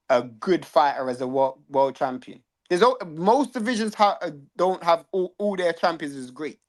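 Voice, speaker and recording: A young man, co-hosting a boxing chat, arguing his points in a reasoned, teasing way. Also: on the high side. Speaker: boxing podcast co-host. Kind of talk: casual chat.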